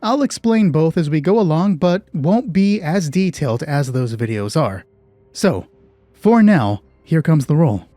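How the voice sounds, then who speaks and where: A man's voice: a little high, expressive, a little slow, dark tone, no room sound, soft background music. A man hosting a solo tabletop roleplaying game, narrating the story and explaining rules conversationally, thinking aloud with self-deprecating asides.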